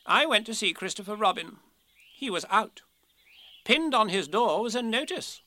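An officious storybook rabbit, put on by a man, pitched high and bright. He speaks curtly and emphatically, impatient and full of his own importance. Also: expressive, normal speed, exaggerated voice. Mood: solemn. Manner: curt, emphatic, solemn and officious. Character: brisk, self-important, impatient male rabbit. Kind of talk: children's storybook character reading.

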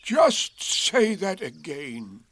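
A man voicing a gloomy old donkey in a children's storybook, with a drawn-out, mournful and sardonic delivery. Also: high-pitched, huge pitch swings, fairly bright tone, breathy edge, pitched above the performer's own voice, full character voice. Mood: ponderous. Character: gloomy old male donkey, slow, ponderous and doleful.

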